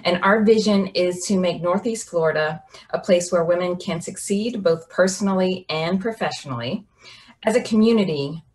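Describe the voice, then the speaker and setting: A woman in her forties hosting an interview over a video call from a home office, reading prepared remarks in a polished, upbeat way. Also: low voice. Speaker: host. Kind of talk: scripted introduction and interview.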